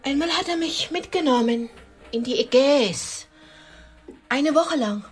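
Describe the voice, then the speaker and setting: A woman's voice: sits high, animated, measured pace, soft background music. An actress playing a disillusioned middle-aged lady on a blind date in a radio drama, dry, pointed and wounded. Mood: bitter, wistful.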